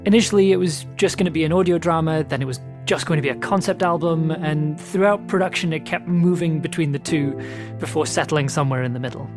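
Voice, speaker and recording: A man presenting the show and reading a measured, reflective introduction. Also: on the high side, over music. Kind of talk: scripted narration.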